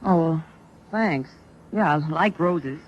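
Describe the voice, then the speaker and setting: An actress playing a wary, slangy young vaudeville performer in an old-time radio drama, halting when flustered. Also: female voice, deep voice. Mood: guarded, awkward. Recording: muffled, noisy vintage radio broadcast.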